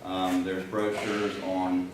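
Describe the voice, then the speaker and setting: A man's voice: sits low, monotone, takes it slowly. A man speaking casually at a community meeting, explaining what a set of brochures cover. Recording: echoey room, distant mic, noisy.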